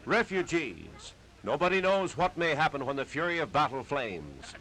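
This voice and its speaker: A man's voice: fairly high pitch, big pitch swings, normal speed, a bit bright, low-quality recording. An American man narrating a 1930s newsreel in a dramatic, declamatory manner on an old period soundtrack.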